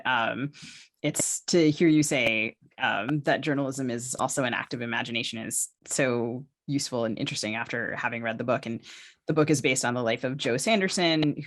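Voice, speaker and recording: Woman, podcast host, conversational, literary interview, halting thoughtful questioning. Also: rumbling low.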